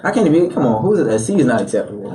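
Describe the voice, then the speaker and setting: A man's voice: medium pitch, big pitch swings, rapid-fire, mellow, far from the mic, slight background noise. A young man born in Chicago and raised around Atlanta, a rapper talking loosely in a podcast studio interview with lots of filler words. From Atlanta area, born in Chicago. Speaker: rapper guest. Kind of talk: casual interview chat. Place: small podcast studio with foam panels. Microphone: studio mic on boom arm.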